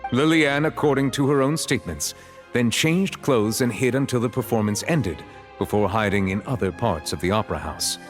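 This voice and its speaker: An actor voicing a grave, formal chief justice in a video game, speaking with measured authority. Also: male voice, mid-range voice, lively intonation, faint music behind, natural voice. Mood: solemn, explaining. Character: grave, formal male chief justice presiding over a trial. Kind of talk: dramatic video game voice acting.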